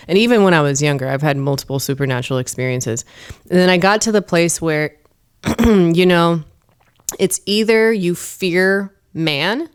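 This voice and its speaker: A woman's voice: low voice, clean tone. A woman guest on a podcast, talking in casual interview style, earnest and emphatic when she speaks about faith. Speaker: podcast guest, comedian and performer. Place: studio.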